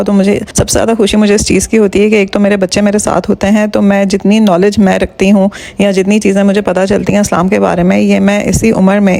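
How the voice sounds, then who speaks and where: A woman's voice: mid-range voice, vocal fry, mellow. A woman travel vlogger explaining religious sites in an earnest, flowing way. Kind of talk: casual travel vlog chat and voice-over.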